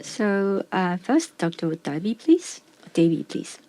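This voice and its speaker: A woman's voice: medium pitch, very animated, slow, warm tone. A woman moderating a conference panel, putting a long question to the panelists and calling on them by name. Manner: poses long questions, then calls on panelists.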